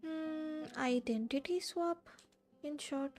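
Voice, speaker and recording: Female game streamer, Indian accent: high soft-toned voice, casual musing reactions, faint game music behind. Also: slow pace. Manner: musing, mixes Hindi and English. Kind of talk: casual live reaction commentary.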